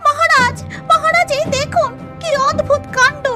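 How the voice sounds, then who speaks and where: A woman voicing an astonished, deferential palace maidservant in a cartoon fairy tale, shrill and dramatic. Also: fairly even intonation, normal speed, loud music behind the voice. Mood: shocked.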